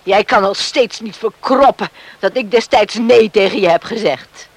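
A woman's voice: mid-range voice, normal speed, dry recording, lightly acted. An actress playing a middle-aged, protective mother who scolds plainly and emphatically in a Dutch radio drama. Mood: angry, scornful. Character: indignant, protective island mother confronting her son's would-be father-in-law.

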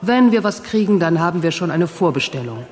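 An actor voicing a brisk, polite shop clerk with salesman's patter in a German sci-fi radio play. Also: mid-range voice, very animated, darkish tone, close to an everyday voice. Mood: brisk, apologetic. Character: chatty, businesslike shop clerk selling second-hand memories. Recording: reverberant hall with music behind.

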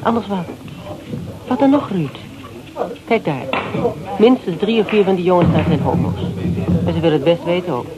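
An actress in a Dutch radio play as a frank, self-assured woman, gently teasing and probing in colloquial speech. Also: lowish voice, normal speed, long pauses, highs cut off, close to an everyday voice. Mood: challenging, teasing. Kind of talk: naturalistic Dutch radio drama acting. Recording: radio play with crowd chatter behind.

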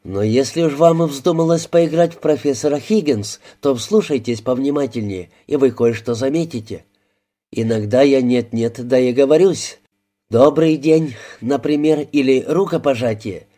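Male audiobook narrator, mellow mid-pitched voice, measured first-person storytelling.

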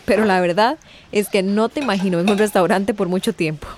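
A woman acting as a busy hostel worker in a radio soap, affectionate and talkative yet dodging questions. Her pitch is ordinary, with faint noise behind her. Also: brisk, a little mellow, close to an everyday voice. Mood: warm, flustered. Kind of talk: radio drama dialogue.

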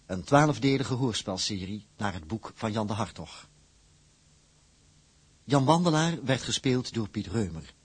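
Male radio announcer reading credits, formal, kinda husky, very dry studio sound. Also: fairly low pitch, no echo. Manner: formal, measured.

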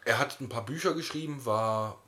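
A man's voice: mid-range voice, moderate pace, sharp tone. A man co-hosting a podcast in casual, rambling banter with a wry touch. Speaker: podcast host.

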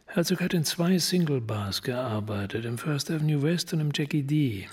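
An actor playing a focused, terse homicide detective with a clipped manner in a radio crime drama. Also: male voice, on the high side, animated, moderate pace, a little mellow, slight background noise, lightly acted. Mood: businesslike.

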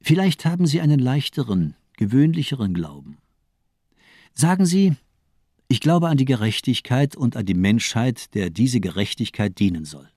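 Casting: radio drama villain, a zealous, mocking doctor; animated, swooping delivery, insistent and rhetorical, close dry sound. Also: male voice, medium pitch, normal speed, slightly rough, no echo. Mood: taunting, insistent.

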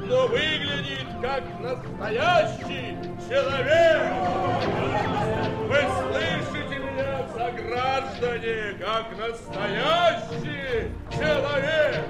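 An actor playing a grandiose fairground barker, calling out in theatrical sing-song in an audio play. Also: male voice, very high voice, drawn-out words, over a noisy crowd, exaggerated voice. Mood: grandiose hawking. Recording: echoey old recording under loud music.

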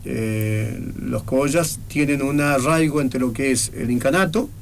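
A man's voice: mid-range voice, unhurried, vocal fry, slight background noise. Earnest Argentine man, radio guest. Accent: Argentine Spanish. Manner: earnest, persuasive, lists in rhythm. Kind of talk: casual radio interview.

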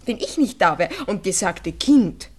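A woman's voice: medium pitch, animated, measured pace. An actress playing a worldly-wise, bossy young Viennese shop girl in a radio drama, chatty and teasing. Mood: scornful, lecturing. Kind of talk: 1960s German radio drama acting.

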